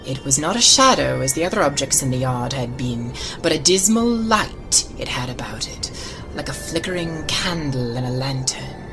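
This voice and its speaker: A woman narrating a classic ghost story as a dramatic audiobook, theatrical and suspenseful, with a tendency toward hushed, breathy delivery. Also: rumbling low, lively intonation, moderate pace, poor audio. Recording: loud music under the voice. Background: music.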